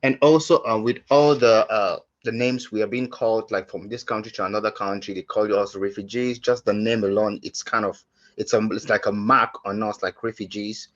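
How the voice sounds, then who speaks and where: A low-voiced man from Cameroon talks over a video call, his voice slightly muffled and lo-fi. He speaks earnestly, pausing often with fillers and restarting his sentences. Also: fairly even intonation, a bit bright. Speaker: panelist. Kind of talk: personal testimony on a webinar panel.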